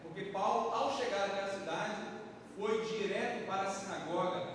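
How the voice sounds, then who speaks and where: High-voiced male pastor in an echoey church. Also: moderate pace, fairly bright tone. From Brazil. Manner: conversational preaching, frequent tag questions to the congregation. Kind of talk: sermon. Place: church sanctuary. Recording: echoey church hall from far off with background noise.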